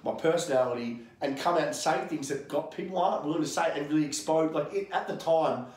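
A man's voice: fairly high pitch, quick pace, a little mellow. An Australian man in his thirties, a strength coach and podcast guest, talking brashly with plenty of swearing. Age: 30s. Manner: brash, fired-up, swears freely. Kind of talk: podcast interview. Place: gym, indoors. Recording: echoey gym room.

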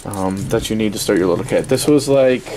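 A man giving a casual, halting, offhand unboxing review at a desk in a small indoor workspace. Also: lowish voice. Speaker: product reviewer. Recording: steady noisy room ambience.

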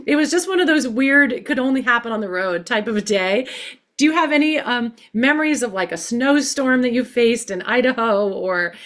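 A woman's voice: fairly high pitch, animated, moderate pace, a bit bright. A middle-aged woman hosting a video-call interview from home, asking chatty questions with hesitations. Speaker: host. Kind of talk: casual interview. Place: home room.

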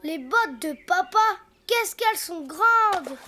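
A performer voicing a cheeky, excitable little boy donkey in a cartoon, with a childlike voice and a sing-song, self-important way of talking. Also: slow pace, heavily acted. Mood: excited. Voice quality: high, childlike. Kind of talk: cartoon voice acting. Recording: music behind the voice.

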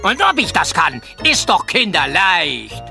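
A man's voice: high voice, huge pitch swings, measured pace, creaky, heavily acted. A man playing a vain, irritable sorcerer in an audio drama, blustering and squawking in exclamations. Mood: boastful. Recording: background music under the voice.

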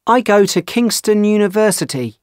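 Man as young student from England, clear, measured, dry booth. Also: high-pitched, lively intonation, no echo. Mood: calm. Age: young adult. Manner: clear, measured, carefully paced. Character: male student introducing his family. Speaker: textbook dialogue voice. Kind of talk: slow scripted textbook reading.

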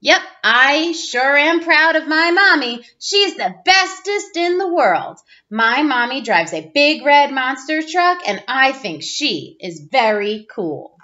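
A woman in her thirties reading a children's picture book aloud in an expressive, playful way, like a teacher. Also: high-pitched, takes it slowly, hardly any pauses. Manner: expressive, playful exclamations and sound effects. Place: small room at home, indoors. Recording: dull lo-fi webcam audio.

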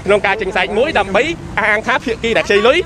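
A man explains at a very fast clip in a fairly high voice, animated and pressing. He is recorded outdoors at night on handheld reporter microphones. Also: a crowd in the background. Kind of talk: street interview.

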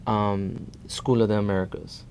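A man in his thirties from El Salvador, discussing politics as an activist guest in halting, repetitive phrases. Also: lowish voice, steady tone, gravelly, a little mellow, slight background noise. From born in El Salvador. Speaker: guest, immigrant rights activist. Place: small indoor TV studio.

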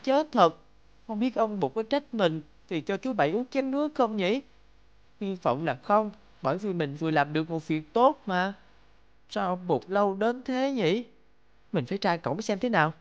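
A woman voicing a kind, naive and polite little village boy in an animated fairy tale. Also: mid-range voice, moderate pace, highs cut off, lightly acted. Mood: earnest, wondering. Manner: naive, polite, thinking aloud to himself. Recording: background music.

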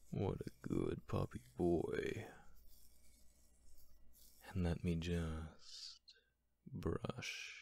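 ASMR roleplay: man playing affectionate, teasing male roommate, gently dominant, breathy and husky, with creaky fry. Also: sits low, heavily acted. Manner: slow, soothing, breathy, doting. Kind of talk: ASMR roleplay, whispered and softly spoken.

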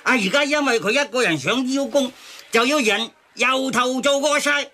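A man's voice: extremely high pitch, moderate pace, bright, forceful tone. A man playing an aggrieved, cynical everyman who grumbles colloquially in a radio drama. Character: aggrieved, cynical everyman complaining about bosses.

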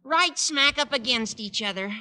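An American actor playing an eager, cheeky, scheming teenage schoolboy with gushing delivery in 1940s radio comedy style. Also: male voice, extremely high pitch, animated, moderate pace, bright, forceful tone, smooth, full character voice. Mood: eager, proud. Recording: reverberant old radio studio recording.

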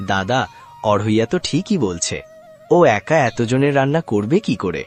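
A man's voice: on the low side, sing-song, a little slow, soft background music. A man voicing a good-humoured divine younger brother in a cartoon, amused and reassuring.

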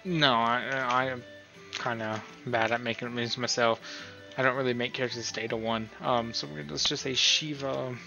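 A man's voice: mid-range voice, steady tone, moderate pace, creaky, sharp tone. A man giving a casual, unscripted tutorial in a rambling, hesitant, self-deprecating way. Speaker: tutorial host. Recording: dull lo-fi mic, music behind. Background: music.

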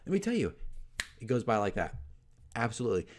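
A man's voice: mid-range voice, very animated, fast. Emphatic middle-aged man talking casually. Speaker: dungeon master giving tips as channel host. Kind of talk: casual opinion talk to camera. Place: small furnished room at home, indoors. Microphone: desk microphone in front of him.

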